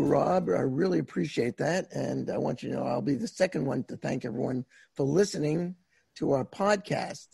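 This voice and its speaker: Gravelly high-voiced man hosting an interview. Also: darkish tone, dry recording. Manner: folksy, halting questions with many restarts. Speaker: podcast host.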